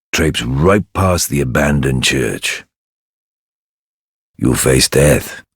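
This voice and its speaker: A menacing knight from a dark fantasy game. His voice is extremely low, husky and full of gravel, and every slow phrase drips with surly contempt and condescension. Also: no echo, studio quality, heavily acted. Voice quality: very deep, gravelly. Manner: sneering, condescending, drawling.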